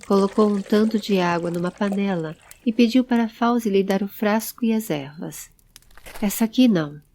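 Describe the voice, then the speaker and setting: A woman's voice: medium pitch, normal speed, darkish tone. A woman narrating a scripted audio drama. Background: background music.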